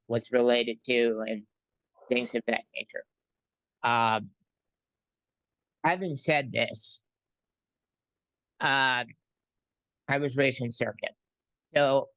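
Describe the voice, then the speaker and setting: A man from New York City interviewing a guest, speaking haltingly with many ums and pauses. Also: mid-range voice, fairly bright tone, clear, resonant tone, no room sound, muffled recording. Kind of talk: casual conversational interview.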